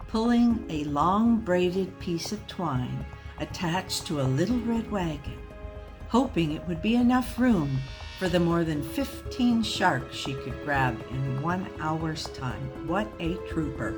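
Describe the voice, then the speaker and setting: Slow-paced woman telling a mock-serious story. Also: on the low side, expressive, over music. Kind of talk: slow dramatic comic storytelling.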